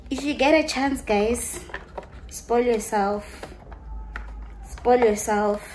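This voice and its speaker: Woman vlogger, young, unhurried and gushing, faint room hiss behind. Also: fairly high pitch. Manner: chatty, gushing, slips into local phrases. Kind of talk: casual chat to camera, showing perfumes.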